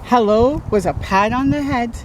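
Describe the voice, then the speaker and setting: A woman reading a children's picture book aloud as a storytime host, expressive and chatting to young listeners. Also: fairly high pitch, normal speed, a little hiss or hum. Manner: expressive, interjects comments and questions to young listeners.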